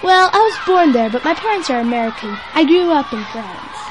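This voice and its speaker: Woman playing an easygoing, high-voiced schoolgirl, crowd behind. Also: lively intonation, brisk. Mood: easygoing, playful. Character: easygoing, joking female school student. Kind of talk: scripted English lesson dialogue. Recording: noisy, with crowd sounds behind.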